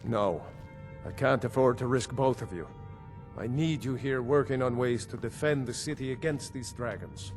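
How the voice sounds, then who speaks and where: An actor playing an authoritative, gracious middle-aged lord in a fantasy video game, speaking in a measured, commanding way. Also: male voice, mid-range voice, a little mellow, faint music behind, close to an everyday voice. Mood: grave. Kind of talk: video game character dialogue.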